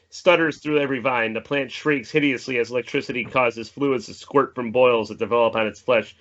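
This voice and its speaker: A man's voice: mid-range voice, fairly bright tone, highs cut off. A man reading a screenplay's stage directions as dramatic, descriptive scripted narration.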